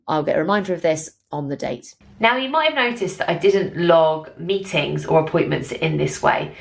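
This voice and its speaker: A woman presenting a software tutorial, explaining each step in a clear, instructional way. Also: low voice. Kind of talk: screen-share tutorial narration, instructional.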